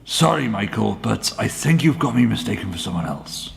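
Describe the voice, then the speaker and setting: An actor playing a courteous, hesitant church helper in a radio play, halting and deferential. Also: male voice, fairly low pitch, sing-song, gravelly, full character voice. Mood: courteous, patient. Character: hesitant, courteous, troubled father helping at church.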